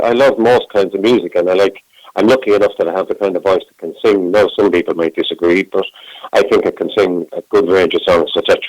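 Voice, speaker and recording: Brief: low-pitched middle-aged man on the phone, dry sound, rambling guest chat. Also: no room sound, rough recording. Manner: rambling, warm self-talk with many restarts. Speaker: singer, interview guest. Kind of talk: casual phone interview.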